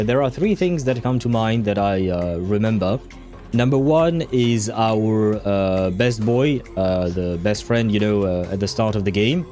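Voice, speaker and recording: A man with a fairly low, very mellow voice hosts a casual livestream. He thinks aloud in a halting, meandering way, circling back over his words. Faint music plays softly behind him. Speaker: livestream host.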